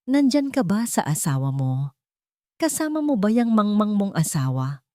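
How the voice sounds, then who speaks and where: A woman's voice: mid-range voice, big pitch swings, normal speed, mellow, no room sound, voice pushed up, close to an everyday voice. A woman narrator plays a drunken mistress in an audio storybook. She is full of grief and bitterness, pleading and accusing, in a dry recording. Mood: bitter, hurt. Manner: sobbing, accusing. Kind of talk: dramatic storybook reading.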